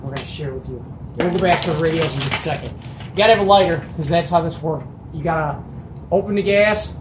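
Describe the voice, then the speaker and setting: A host doing a casual hands-on show and tell, enthusiastic and colloquial, with folksy exclamations. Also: medium pitch. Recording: echoey room with faint noise.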